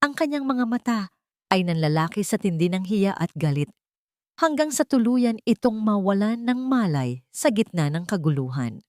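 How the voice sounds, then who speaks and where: A woman narrating a drama story in an expressive, dramatic way. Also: mid-range voice, normal speed, dry recording, clean studio sound.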